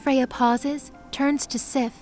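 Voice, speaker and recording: A woman narrator reading scripted fantasy in a measured storytelling manner. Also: high voice, level tone, darkish tone, soft background music.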